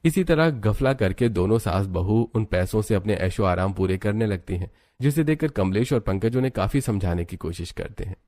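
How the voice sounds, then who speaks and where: A man's voice: fairly low pitch, expressive, moderate pace, few pauses, dark tone, dry recording. A man narrating a scripted moral story as its storyteller.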